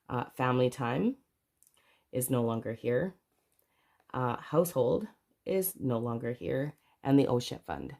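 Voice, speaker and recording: A woman's voice: a bass voice, gravelly, a little mellow. A middle-aged woman from Canada, congested from a cold, chatting casually to camera about her budget with rambling, self-correcting asides. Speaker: budgeting vlogger.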